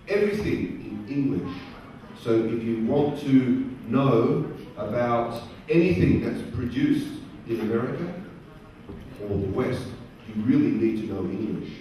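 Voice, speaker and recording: Middle-aged male lecturer, mellow tone, plain repeated phrasing, heard from afar in a booming hall with faint noise. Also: distant mic. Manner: slow, simple wording with repeated phrases for learners; asks the audience questions. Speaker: guest speaker at a career event. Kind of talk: lecture to a live audience. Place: large conference hall.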